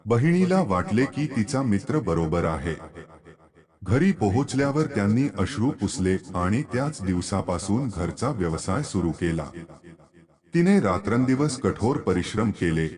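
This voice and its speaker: A man's voice: on the low side, very animated, measured pace, crowd noise. A man reading a scripted moral tale as a storyteller.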